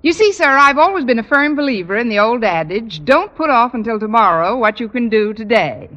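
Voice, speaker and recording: An actress playing a quick-witted, sardonic schoolteacher who is polite to her superior, in 1950s radio comedy style. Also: a little high, very animated, fairly bright tone, lo-fi sound. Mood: polite, wry. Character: wry, quick-witted adult female schoolteacher. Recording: noisy old radio recording, hall reverb.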